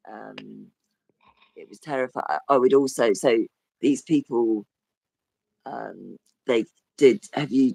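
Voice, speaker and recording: Hoarse croaky guy rambling on a podcast, dry close sound. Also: mid-range voice, no echo, low-quality recording. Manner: rambling, trails off and restarts. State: hoarse with a cough. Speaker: podcast guest. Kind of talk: casual conversation.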